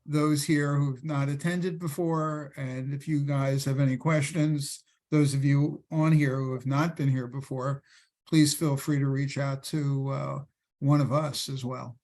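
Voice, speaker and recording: A man with a Philadelphia accent hosting an online panel, chatty with quick restarts and fillers. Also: mid-range voice, flat delivery, a little mellow. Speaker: host. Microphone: video call.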